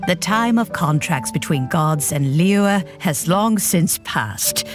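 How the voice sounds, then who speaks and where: Poised, slow-spoken authoritative lady. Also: on the low side. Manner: measured, formal, gently amused. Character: poised, motherly, authoritative woman leader of a harbor city. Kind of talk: video game voice acting.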